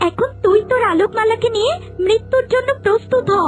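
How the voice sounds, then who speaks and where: A woman's voice: very high voice, fast talker, soft background music. A woman voicing a vengeful, scorned serpent maiden in a cartoon melodrama, speaking with menace. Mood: vengeful, threatening.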